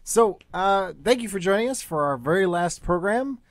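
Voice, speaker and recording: A man's voice: high voice, expressive, normal speed, no room sound. A man hosting a radio show, giving an informal, somewhat hesitant live introduction.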